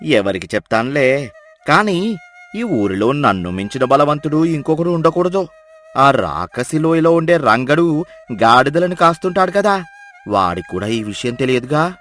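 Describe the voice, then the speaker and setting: A man plays a swaggering village headman from a moral tale. The voice is fairly high for a man, pompous and bossy, full of gullible self-importance. Soft music runs behind it. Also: very animated, moderate pace. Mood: pleased, boastful. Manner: pompous, boastful, commanding. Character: arrogant, gullible village headman boasting of his strength. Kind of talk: dramatised moral story dialogue.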